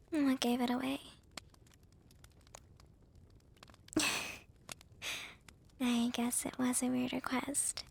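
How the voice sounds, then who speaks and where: A woman playing a shy, gentle young vampire with a crush in a whispered ASMR roleplay, speaking softly and haltingly with a breathy voice. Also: high voice, level tone, a little hiss or hum, exaggerated voice. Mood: nervous, hesitant. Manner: hesitant, halting, soft-spoken.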